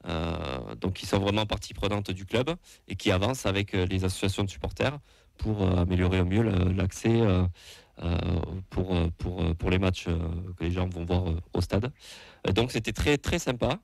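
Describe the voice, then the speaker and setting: A man's voice: sits low, moderate pace, darkish tone, no echo. A young man with a southwest French accent, speaking for a supporters' association, hesitant and full of fillers and restarts. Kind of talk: casual radio talk.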